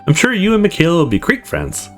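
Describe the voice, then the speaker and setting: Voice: medium pitch, big pitch swings, quick pace, soft background music, voice pushed up. A player voicing a friendly, doting middle-aged mother in a visual novel.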